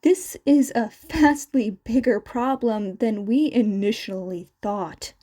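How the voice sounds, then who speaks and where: A woman's voice: a little high, takes it slowly, warm tone. A woman reading fan fiction aloud as a scripted story narration. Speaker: narrator, podfic reader.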